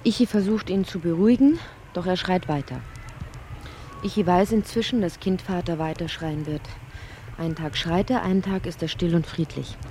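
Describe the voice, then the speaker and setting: An actress playing a brooding, introspective narrator of a dreamlike literary radio drama, with a soft, breathy, husky delivery. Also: female voice, lowish voice, slight background noise, natural voice. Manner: measured, introspective delivery, sometimes sinking into whispers.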